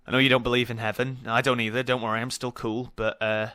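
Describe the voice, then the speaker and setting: A man's voice: mid-range voice, little pitch movement, quick pace, pushed and bright, smooth, no room sound, natural voice. A man as an awkward grieving student, dry close sound. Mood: awkward, tender. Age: young adult. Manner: hesitant, rambling, nervously joking. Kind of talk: naturalistic audio drama acting.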